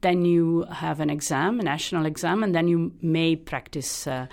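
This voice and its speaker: Gravelly low-voiced Italian woman, thoughtful. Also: darkish tone. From Italy. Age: middle-aged. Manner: thoughtful, pausing with frequent uh fillers. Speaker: hematologist guest. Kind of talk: radio interview conversation.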